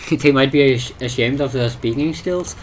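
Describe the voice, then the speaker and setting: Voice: medium pitch, normal speed, a little hiss or hum. A live streamer with a non-native English accent, chatting casually while gaming, hesitant and often repeating words while searching for them. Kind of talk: casual chat while playing a game.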